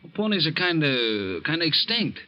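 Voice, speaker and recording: An actor playing a young reformed safecracker who talks earnestly and protests defensively in a 1940s radio drama. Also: male voice, a little high, sing-song, normal speed. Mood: gentle. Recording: dull old radio broadcast.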